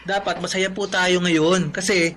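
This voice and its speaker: An actor playing an affectionate young son in a Filipino radio drama. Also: male voice, high voice, flat delivery, a little slow, a bit bright. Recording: noisy ambience behind the voice.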